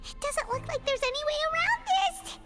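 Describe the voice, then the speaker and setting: A tiny, childlike fairy companion from a video game, with an extremely high, squeaky voice. She is excitable and fretful, her pitch leaping around as she whines and exclaims over loud music. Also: normal speed. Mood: dismayed. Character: tiny childlike fairy companion, excitable, anxious, speaks of herself in third person.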